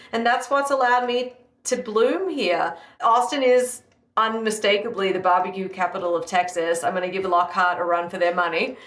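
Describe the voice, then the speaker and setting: A woman's voice: medium pitch, fairly bright tone. A woman with an Australian accent in her thirties, answering interview questions in a chatty, upbeat way with many fillers. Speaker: guest, live fire cook. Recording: echoey room.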